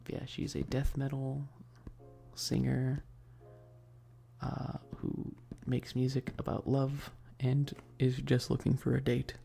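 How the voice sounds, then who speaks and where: A man chatting casually on an ASMR game stream in a soft voice that often slips toward a whisper, laid-back and sweary with slangy, hesitant enthusiasm. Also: medium pitch, completely flat delivery, takes it slowly, vocal fry, husky, soft background music. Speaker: streamer hosting a live stream. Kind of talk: casual rambling ASMR stream chat about games.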